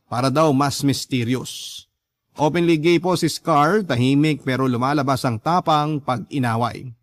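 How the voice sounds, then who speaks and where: A man with a slightly high voice narrates as a radio storyteller. His scripted reading is dramatic and measured, with pauses, in a very dry recording. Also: no room sound.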